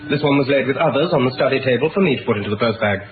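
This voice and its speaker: A male actor plays a duke's officious secretary in a vintage radio play. He speaks rapidly in a formal, reproving way at an average pitch. The recording is thin and boxy. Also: animated, lightly acted. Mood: reproving, brisk. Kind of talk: 1960s radio drama acting. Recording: narrow-band old radio recording, faint hiss.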